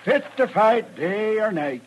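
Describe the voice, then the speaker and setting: High-pitched cantankerous old rancher. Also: male voice, very animated, takes it slowly, slightly rough, heavily acted. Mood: hearty. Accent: American. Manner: folksy, blunt. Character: cantankerous, lonely old male rancher in the West. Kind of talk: 1950s western radio drama acting. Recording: noisy old radio transcription.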